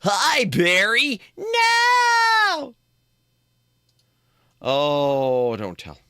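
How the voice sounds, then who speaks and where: A man commentating on a game live for his chat, joking and animated. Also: high voice, very slow, no echo, clean studio sound. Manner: jokey, animated, talks to chat. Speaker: streamer.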